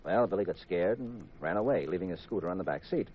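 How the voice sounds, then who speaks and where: An American actor playing a shrewd, composed detective in an easygoing, pointed storytelling style for a 1950s radio mystery. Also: male voice, low-pitched, animated, fast talker, slight background noise. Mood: steady recounting. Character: shrewd, composed male amateur detective, husband. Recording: muffled, dull 1950s radio recording.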